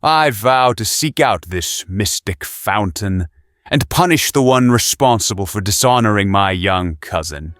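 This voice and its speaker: Proud, low-voiced young knight. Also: male voice, lively intonation, measured pace, fairly bright tone, no echo. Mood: resolute. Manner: formal, earnest, declamatory. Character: Yvain, proud resolute male young knight. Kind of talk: audio drama acting.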